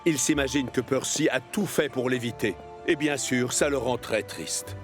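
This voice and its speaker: A man's voice: mid-range voice, moderate pace. Male storyteller over music. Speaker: narrator. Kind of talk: scripted children's cartoon narration. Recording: clear music bed behind.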